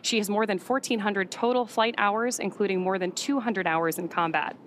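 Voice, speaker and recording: A woman delivers informative live commentary as a space mission broadcaster. Her voice sits at a middle pitch, with some faint noise behind it. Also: moderate pace. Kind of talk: informative live commentary, partly read from notes.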